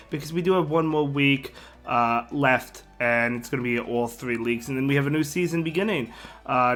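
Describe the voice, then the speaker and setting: Hoarse, bright-voiced male gaming host. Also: fairly high pitch. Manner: rambling, repeats words, frequent fillers and chuckles. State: sick, partly lost voice. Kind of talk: casual game strategy commentary.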